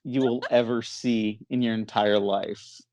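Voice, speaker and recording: A man's voice: medium pitch, fairly even intonation, measured pace, darkish tone. A man hosting a casual movie podcast, talking in a rambling, jokey way full of exaggeration. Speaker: podcast host.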